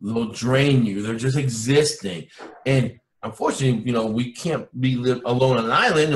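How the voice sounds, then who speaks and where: A man born in Cuba, interviewed as a guest, who rambles with lots of restarts and side turns. His voice sits at an ordinary middle pitch. The room around him sounds echoey. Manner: rambling, animated, many restarts. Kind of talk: casual interview chat.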